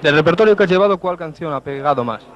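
A man's voice: fairly high pitch, quick pace. A young man from Spain working as a TV interviewer, formal and wordy, rephrasing his questions as he goes, on a handheld mic. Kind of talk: TV reporter questions and presenting. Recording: muffled 1970s TV film sound.

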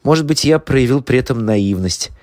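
A man reading a writer's words from a script, deliberately, phrase by phrase. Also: mid-range voice, lively intonation, a little mellow, no room sound, clean studio sound. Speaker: reader of quotations.

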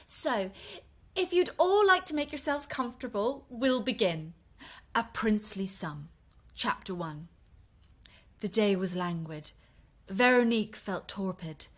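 An actress playing a self-absorbed, cheerfully oblivious romance novelist in an audio drama, grand and prim in manner. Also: female voice, medium pitch, lively intonation, unhurried. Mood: grandly pleased.

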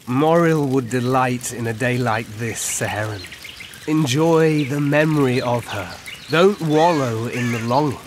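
A man's voice: mid-range voice, natural voice. Man playing solemn, world-weary old elf. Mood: gently consoling. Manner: measured, solemn, formal. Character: old male elf forest scout, world-weary and grave. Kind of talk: video game dialogue acting. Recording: clear ambient noise behind.

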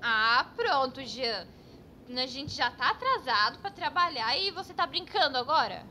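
A woman's voice: high voice, sing-song, unhurried, bright, forceful tone, a little hiss or hum, exaggerated voice. A woman playing a bossy, exasperated young painter in a cartoon comedy, scolding in a Brazilian accent. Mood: annoyed. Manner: scolding, exclamatory. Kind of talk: cartoon sketch comedy dialogue.

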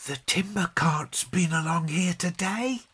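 A man's voice: a little high, very animated, measured pace, voice pushed up. A man voicing a meek, uneasy young boy in a children's storybook, agreeable and a little hesitant.

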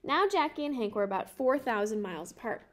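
A woman in her twenties reading a children's picture book aloud in a careful, measured way. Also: a little high, very animated. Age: 20s. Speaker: storybook reader.

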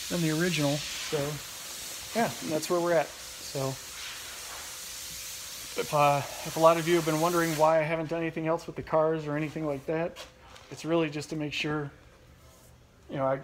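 A man in his thirties giving a relaxed, rambling walkaround of a boat project in a large workshop garage, filmed on a handheld phone, with many fillers and restarts. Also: a little high, slight background noise. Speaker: channel host. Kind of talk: casual walkaround vlog. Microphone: handheld phone camera close up.

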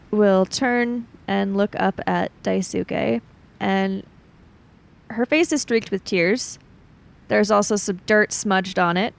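A woman improvising narration in a roleplay game, with halting, deliberate phrasing. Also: medium pitch, creaky. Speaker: tabletop roleplay player.

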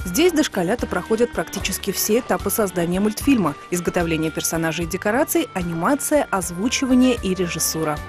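A woman's voice: fairly low pitch, expressive, fast talker, few pauses. A woman reporter reading scripted narration for a television feature. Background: music.

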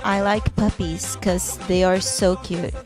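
A woman's voice: fairly low pitch, steady tone, takes it slowly, music in the background. A teenage girl from Brazil with a Brazilian Portuguese accent, casually interviewing classmates indoors with a handheld mic. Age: teens. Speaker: student reporter. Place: school hallways and offices, indoors. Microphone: handheld microphone.

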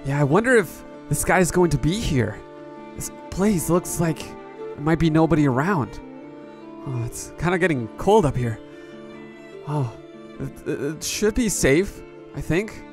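Man acting excitable young male hero, high-pitched, stammering, self-narrating, amateur adventure drama, clear background music. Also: measured pace. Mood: curious, wary. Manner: talks to himself, stammering, breathlessly reactive.

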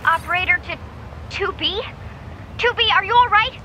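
A woman's voice: very high voice, moderate pace, bright, forceful tone, a little hiss or hum, rough recording. A woman playing an earnest, caring young android radio operator in a video game, eager and precise. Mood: worried. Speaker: military operator.

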